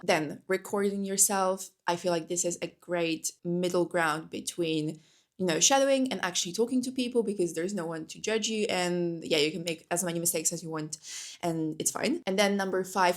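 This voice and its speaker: A young woman whose first language is Polish, vlogging casually to camera from home, chatty, with many fillers and restarts. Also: lowish voice. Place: indoors at home.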